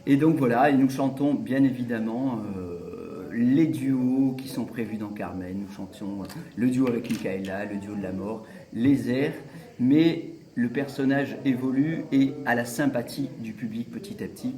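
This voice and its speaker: A man, an opera singer acting in a comedy, speaking in an interview with halting repetitions. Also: medium pitch, mellow, reverberant. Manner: halting, repeats words, good-humored. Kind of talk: festival interview, casual chat.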